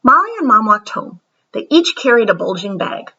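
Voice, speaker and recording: A woman reading a children's picture book aloud in an animated, expressive storytelling manner. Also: on the high side, moderate pace, muffled recording, a little hiss or hum. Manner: animated, dramatic storytelling with whispered asides. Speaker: storybook reader.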